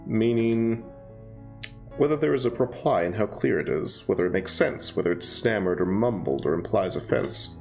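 A man voicing a poised, precise young woman student who explains things in a measured, clinical way. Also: fairly low pitch, lively intonation, gravelly, soft background music. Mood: composed.

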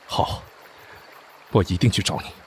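A man's voice: a little slow, a little hiss or hum, lightly acted. A man playing a stern but devoted army officer, terse and brooding, in a radio drama. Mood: quiet, tender. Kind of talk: Chinese fan radio drama acting.

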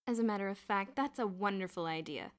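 A woman's voice: mid-range voice, lively intonation, a little fast, lightly acted. A woman voicing a brash, scheming schoolboy in an audiobook story, eager, emphatic and insistent. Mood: excited.

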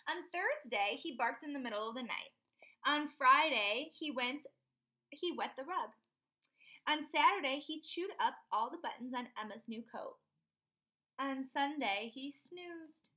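A woman's voice: high voice, expressive, measured pace, bright, forceful tone. A young woman reading a picture book aloud expressively, stopping to put questions to her young listeners. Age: young adult. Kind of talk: expressive children's read-aloud. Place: small room indoors at home.

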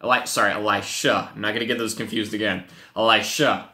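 A young man, a youth pastor, teaching a Bible lesson to children with animated storytelling and playful acted-out voices, speaking to a webcam in a home office. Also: on the low side, a little fast, reverberant. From Wisconsin, USA. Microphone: webcam at desk.

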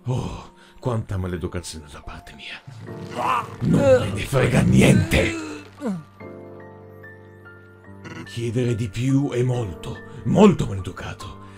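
A man voicing a cruel, mocking dark tentacled overlord in an animated fan dub, speaking with menacing mock politeness. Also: medium pitch, slow, vocal fry, exaggerated voice. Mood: mocking, threatening. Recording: music behind the voice.

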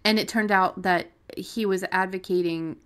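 A woman's voice: medium pitch, steady tone, normal speed, fairly bright tone, clean tone. A woman co-hosting a podcast, talking casually and off the cuff in a blunt, salty-tongued way. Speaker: podcast co-host. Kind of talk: casual unscripted discussion.

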